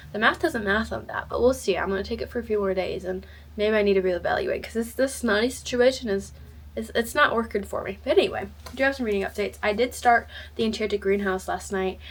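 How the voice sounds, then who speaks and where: A woman chatting casually to camera about books, rambling and full of filler words. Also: on the high side. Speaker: book vlogger. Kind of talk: casual book chat to camera.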